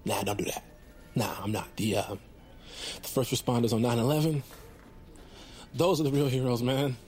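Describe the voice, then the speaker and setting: A man's voice: mid-range voice, fairly even intonation, normal speed, a little mellow, lightly acted. Man playing a rambling, defensive goofball buddy. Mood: boastful, mock modest. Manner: rambling, casual slangy excuses. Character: boastful, defensive goofball male bounty hunter and buddy. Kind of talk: naturalistic comedic audio drama.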